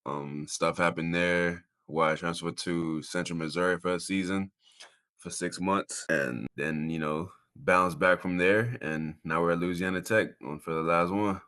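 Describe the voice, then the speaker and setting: Deep, forceful young man in interview. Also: steady tone, unhurried. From Miami, Florida. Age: 20s. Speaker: college football player, interview guest. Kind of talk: casual interview talk.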